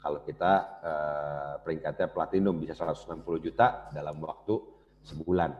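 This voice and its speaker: Low-voiced man giving a business seminar. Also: steady tone, a little fast, a little mellow. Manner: casual, addresses audience directly. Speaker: business speaker. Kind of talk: motivational seminar talk. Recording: dull lo-fi cassette recording.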